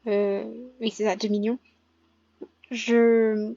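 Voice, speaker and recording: A young woman with a cold and a hoarse voice, chatting casually over her gameplay with lots of pauses and self-corrections. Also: medium pitch, little pitch movement, warm tone, dry recording, muffled recording. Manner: hesitant, many filler pauses and self-corrections. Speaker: gaming vlogger.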